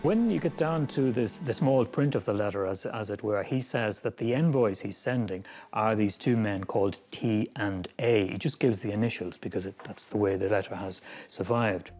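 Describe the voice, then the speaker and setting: Lively, low-voiced male historian. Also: moderate pace, hardly any pauses. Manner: animated, explanatory, thinking aloud. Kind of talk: documentary interview.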